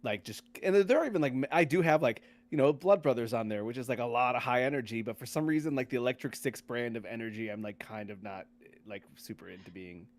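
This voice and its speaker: A man hosting a podcast in casual chat, often repeating and rephrasing himself in a halting way. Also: medium pitch. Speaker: podcast host.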